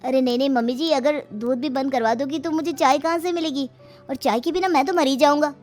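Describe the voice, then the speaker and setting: A woman plays a lazy young son-in-law in a comic story, wheedling and breezy. The pitch is fairly high, with light music behind. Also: fairly quick, darkish tone, close to an everyday voice.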